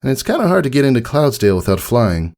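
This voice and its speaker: A man's voice: medium pitch, very animated, fast talker, a little mellow, voice pushed up. A man voicing an eager, cheerful and confident young pegasus filly for a fan fiction audiobook. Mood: curious.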